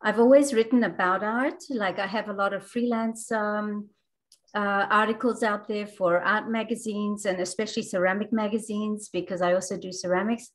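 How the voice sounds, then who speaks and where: A woman's voice: medium pitch, fairly even intonation, normal speed. Enthusiastic woman with a mixed Australian accent. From France, raised in Australia. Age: middle-aged. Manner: enthusiastic, with frequent fillers and restarts. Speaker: guest author and artist. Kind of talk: casual book club conversation.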